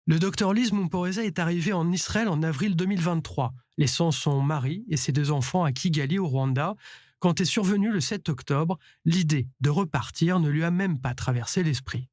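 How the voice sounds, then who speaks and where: A male reporter reading a scripted news voice-over in a measured, even way. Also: fairly high pitch, no echo, clean studio sound.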